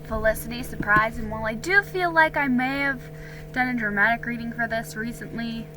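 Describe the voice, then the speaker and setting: A woman giving an expressive, dramatic storybook reading as an amateur narrator. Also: a little high, a little fast, music in the background, poor audio.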